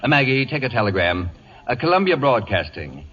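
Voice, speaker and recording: An actor playing a pompous, irascible celebrity wit with an imperious, biting, haughty delivery in radio comedy style. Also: male voice, mid-range voice, very animated, brisk, fairly bright tone, slightly rough. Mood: brisk dictating. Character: pompous, acid-tongued celebrity wit, irascible male invalid. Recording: dull old radio broadcast recording.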